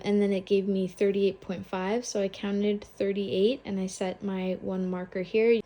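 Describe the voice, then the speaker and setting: Young woman, slight cold, casual knitting tutorial, relaxed chatty delivery, mid pitch. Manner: chatty and relaxed, thinking aloud while counting stitches. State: has a cold. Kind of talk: casual step-by-step craft tutorial.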